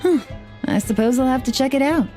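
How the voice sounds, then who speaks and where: A woman voicing a composed, dry-witted female investigator in a video game, calm and businesslike. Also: high-pitched, a little fast, soft background music. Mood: wry, relaxed.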